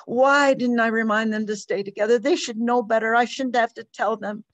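A panicked farm mother, put on by a woman reading a story aloud, pleads and wails in a high, loud voice. Her words tumble out breathlessly, and the sound is close and dry. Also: steady tone, moderate pace, dry recording, lightly acted. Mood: frantic. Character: frantic mother in her thirties, panicked, wailing.

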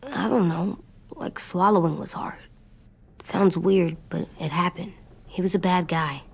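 A woman playing an anxious, stubborn young boy in a dramatic video game, speaking earnestly in halting, childlike phrases. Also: on the low side, gravelly, slightly rough, full character voice. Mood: troubled, confiding.

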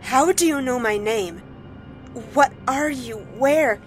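A guarded young elf voiced by a woman, her voice pitched high and her words slow and defiant. She sounds suspicious and quick to threaten, with music playing underneath. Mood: alarmed.

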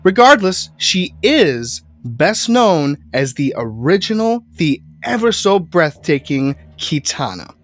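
A young man co-hosting a podcast interview, congested while recovering from an illness, speaking haltingly with fillers into a headset microphone in a home studio. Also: on the high side, big pitch swings. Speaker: podcast co-host.

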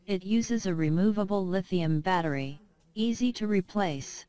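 A woman's voice: on the low side, moderate pace, dark tone, smooth, no echo. A woman reading a scripted product narration, with careful, non-native English phrasing. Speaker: product presenter.

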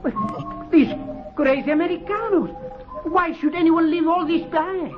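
High-pitched excitable Mexican hotel worker. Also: male voice, unhurried, music in the background. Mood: amazed. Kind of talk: 1950s radio drama acting. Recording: muffled old radio broadcast.

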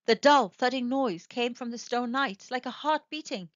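A woman narrating a children's storybook aloud with expressive, animated storytelling. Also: fairly high pitch, moderate pace, no room sound. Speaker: storybook reader. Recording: dry, dull lo-fi sound.

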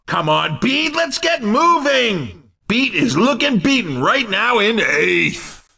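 A man's voice: very high-pitched, sharp tone, exaggerated voice. A man playing a brash, playfully teasing video game race commentator, with punchy, theatrical announcer delivery and a slightly distant sound.

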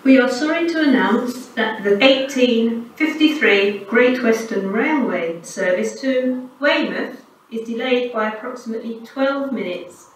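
A woman's voice: a little high, a little slow, hardly any pauses. Echoey automated British woman station announcer. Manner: formal, segmented, pieced-together delivery. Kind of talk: scripted public-address announcement. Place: railway station announcement system. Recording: echoey station PA, distant, noisy.

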